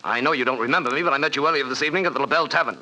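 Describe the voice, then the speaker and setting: An actor playing an urgent police officer who talks in a quick, clipped way in an old radio drama. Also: male voice, mid-range voice, pushed and bright, poor audio. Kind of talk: 1940s radio acting. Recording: vintage radio recording.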